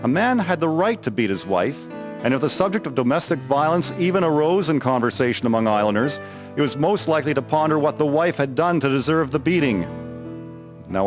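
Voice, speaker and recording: A man reading scripted historical narration for a dramatic story. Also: mid-range voice. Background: music.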